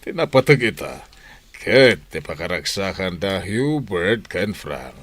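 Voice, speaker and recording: A man's voice: low-pitched, very animated, slow, a bit bright, no room sound, heavily acted. A man playing a practical older confidant in a radio drama, reasoning with emphasis and reassurance. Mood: reassuring.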